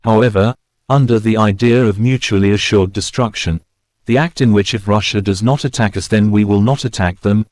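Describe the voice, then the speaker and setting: Man playing a low-voiced formal political official. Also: a little mellow, no echo, clean studio sound, lightly acted. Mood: grave, formal. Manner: formal, measured oratory. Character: formal, grave male European official addressing an assembly. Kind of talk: scripted political address in mock war scenario.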